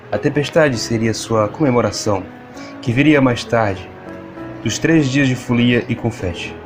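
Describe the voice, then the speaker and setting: A man with a Brazilian accent reading scripted, dramatic fiction narration for an audiobook. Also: on the low side. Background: music.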